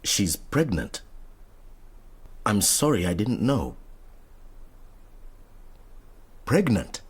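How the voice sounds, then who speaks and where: An exasperated middle-aged father in a radio play. His voice is low and husky, and he speaks with stern, earnest reproach. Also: big pitch swings, slow, full character voice. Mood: taken aback. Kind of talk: radio drama acting.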